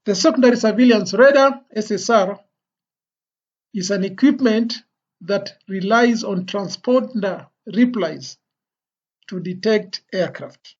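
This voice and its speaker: An instructor giving an explanatory lecture in a deliberate, phrase-by-phrase way with pauses. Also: medium pitch, a little mellow. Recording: dull, lo-fi voice recording.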